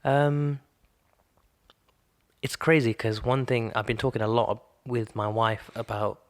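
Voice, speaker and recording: A man's voice: mid-range voice, measured pace. A man in his thirties thinking aloud in a casual conversation, restarting sentences as he works through his ideas. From Britain. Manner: reflective, thinks aloud with many restarts and fillers. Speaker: podcast co-host.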